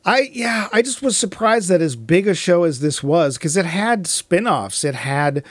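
A man's voice: high-pitched, animated, normal speed, dry recording. A middle-aged man chatting casually about film and TV as a co-host, with a wry, joking manner. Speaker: podcast co-host.

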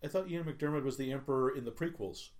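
A man chatting casually as co-host, quick to laugh and chiming in. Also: mid-range voice, darkish tone. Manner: quick to laugh, joins in with quotes. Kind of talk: casual chat.

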